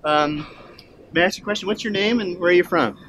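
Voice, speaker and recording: A man's voice: sits high, fast talker. A man hosting jokey quiz interviews with a handheld mic in a conference center hallway. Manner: jokey, upbeat, chatty. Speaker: roving interviewer and quiz host. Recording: loud hallway background noise.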